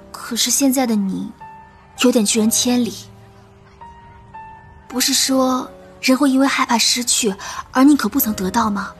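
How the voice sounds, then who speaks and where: A woman's voice: fairly high pitch, lightly acted. A young woman playing a bubbly, caring teenage girl in an audio comic drama.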